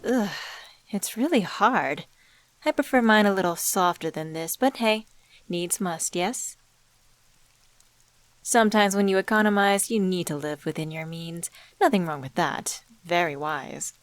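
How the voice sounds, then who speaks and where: Woman playing a low-voiced, enigmatic, teasing female stranger. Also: no echo, natural voice. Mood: wry. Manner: measured, teasing, rhetorical questions, condescending endearments. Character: enigmatic female stranger, suave, teasing, knowing, smoothly condescending deal-maker. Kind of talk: scripted ASMR roleplay monologue spoken to the listener.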